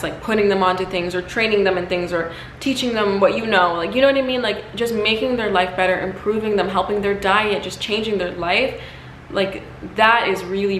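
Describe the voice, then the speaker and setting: A woman chatting casually to camera as an astrology YouTuber, hoarse from losing her voice, with lots of "like" and "you know". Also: mid-range voice, a bit bright, a little hiss or hum. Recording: echoey room, faint ambience.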